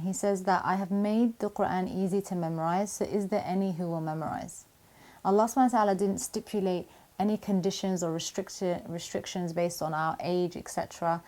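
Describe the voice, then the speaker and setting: A woman with a slightly low voice answers viewer questions on Quran memorization. She talks in a calm, measured, encouraging way and drops in Arabic religious phrases. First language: not Arabic. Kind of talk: Q&A video talk to camera.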